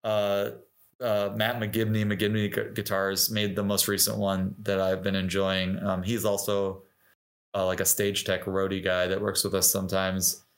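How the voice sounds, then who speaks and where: A middle-aged man with a fairly low voice speaks as a guest in a casual interview. He is a talkative musician who explains his craft informally, often slipping into imagined quotes to make a point. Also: monotone, measured pace.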